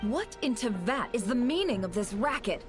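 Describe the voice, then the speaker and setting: A woman's voice: a little high, big pitch swings, moderate pace, loud music behind the voice. An actress playing a haughty, witty, imperious lady in an anime game, speaking formally with theatrical scorn. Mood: scornful.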